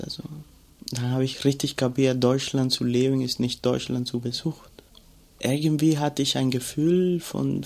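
Reflective man, gravelly voice. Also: mid-range voice. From Cuba. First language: Spanish. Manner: reflective, searching for words with many fillers. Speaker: interviewee. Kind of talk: personal storytelling.

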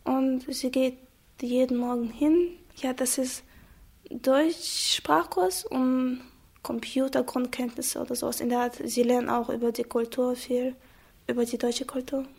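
A young woman from Chechnya, a native Chechen speaker, giving a reflective, hesitant personal account with frequent pauses. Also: high voice, fairly even intonation, vocal fry, a little mellow, breathy edge. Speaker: interviewee. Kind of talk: personal testimony.

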